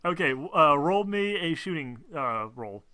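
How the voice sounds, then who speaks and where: A man runs a tabletop game with a very bright, forceful voice. He narrates off the cuff in casual language and gets excitable as he directs the players. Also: fairly high pitch, normal speed, no echo. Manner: informal, excitable, swears freely. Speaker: game master.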